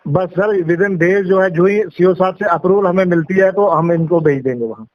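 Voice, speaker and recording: A male office functionary explains himself respectfully on a call. His pitch is high for a man. The audio is muffled and low in fidelity, with hardly any room sound. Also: moderate pace, no room sound. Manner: deferential, explaining, often says sir. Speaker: education department official. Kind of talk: explanatory phone conversation. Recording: dull lo-fi phone call audio.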